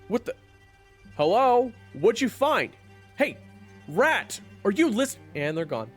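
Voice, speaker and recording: A man acting a gruff, impatient monster hunter with a gravelly, blunt delivery in an audio roleplay. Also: high voice, big pitch swings, slow pace, full character voice. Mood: exasperated. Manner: blunt, exasperated. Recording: music bed under the voice. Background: background music.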